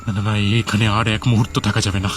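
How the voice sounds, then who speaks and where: A man's voice: lowish voice, flat delivery, fast talker, background noise. A man narrating a scripted first-person horror story in a dramatic, suspenseful manner. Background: music under the voice.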